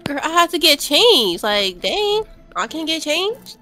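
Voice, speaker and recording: A woman's voice: sits high, very animated, measured pace, sharp tone, soft background music, full character voice. A woman playing a brash, sassy young woman in an improvised horror-comedy roleplay, speaking bluntly and dramatically. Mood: defensive, sassy.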